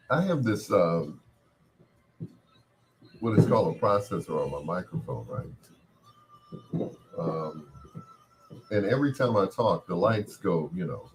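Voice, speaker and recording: A man with a deep, booming voice, hosting a late-night radio show in a relaxed, chatty way through a processed studio mic. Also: takes it slowly, long pauses. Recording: noisy background with booming fireworks. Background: firecrackers booming.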